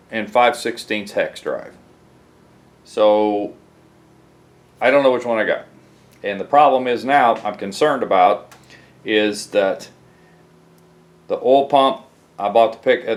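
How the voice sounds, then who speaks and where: Rambling man in a home garage. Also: on the low side. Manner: rambling, self-correcting, talks while hunting through boxes. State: stuffed up from a head cold. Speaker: home mechanic video host. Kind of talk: casual garage vlog.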